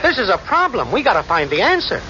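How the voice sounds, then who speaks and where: An actor playing a lazy, smooth-talking schemer boyfriend in 1940s radio comedy, slangy, glib and persuasive. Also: male voice, sits high, huge pitch swings, fast, bright, forceful tone, a faint crowd, heavily acted. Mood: scheming, confident. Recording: noisy, muffled 1940s radio recording.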